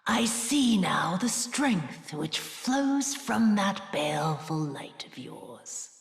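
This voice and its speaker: A woman's voice: mid-range voice, very animated, vocal fry, reverberant, long reverb tail, soft background music, heavily acted. A woman playing a vengeful, haughty moon goddess in a video game, cold and menacing, declaiming in a grand theatrical way. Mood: cold menace. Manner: grandiose, slow, dramatic declaiming, swelling into shouts.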